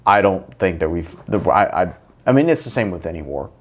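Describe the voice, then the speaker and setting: Man, podcast host, animated intonation, casual chat, blunt, profane. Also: deep voice, brisk. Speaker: military veteran.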